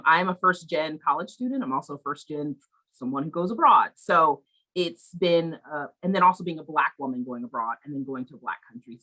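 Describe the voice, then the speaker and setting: Deep-voiced, bright, chatty woman professor. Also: rough recording. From Virginia. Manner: chatty, enthusiastic, many fillers. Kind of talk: introducing herself on a panel.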